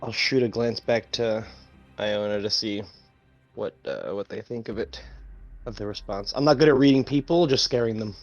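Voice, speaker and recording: A man with a lowish voice takes part in a tabletop game. He talks casually in halting, hesitant phrases. Soft music is faintly audible behind him.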